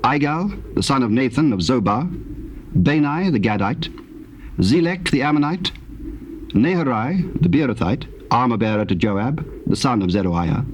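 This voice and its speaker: A man narrating scripture from the King James Bible in a formal, measured storytelling manner. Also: medium pitch, expressive. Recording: old cassette tape, faint background noise.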